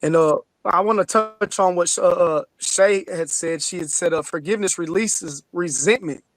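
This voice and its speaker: A man with a distinctly high voice chats as a podcast guest. His talk is loose and informal, full of little tags that check he is understood. It sounds dry, with no room echo. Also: moderate pace. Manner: informal, frequent "you know what I'm saying" and "man". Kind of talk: casual conversational talk.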